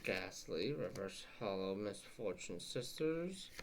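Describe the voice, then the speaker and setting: Sniffly young man muttering slowly. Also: deep voice, animated, a little hiss or hum. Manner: rambling, muttering asides, swears casually. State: sniffly, stuffed up. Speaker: channel host opening card packs. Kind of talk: casual unboxing vlog chat.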